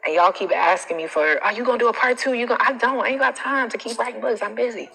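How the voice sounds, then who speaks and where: An American woman giving casual livestream coaching in a blunt, animated, colloquial way. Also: fairly high pitch, fast, vocal fry, husky. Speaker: self-confidence coach. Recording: reverberant, echoing room sound.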